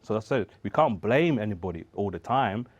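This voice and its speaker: A man's voice: lowish voice, lively intonation, brisk, no echo. A man talking as a guest in a casual studio discussion, emphatic and fond of rhetorical questions, joking now and then. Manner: emphatic, asks rhetorical questions, jokes and laughs.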